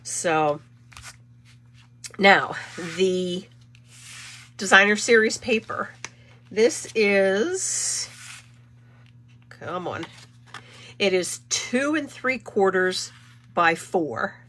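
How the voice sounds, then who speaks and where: Slow-talking woman with a stuffy cold. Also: medium pitch, expressive, fairly bright tone, slight background noise. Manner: chatty, rambling, self-correcting. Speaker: card-making channel host. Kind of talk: casual live crafting demo.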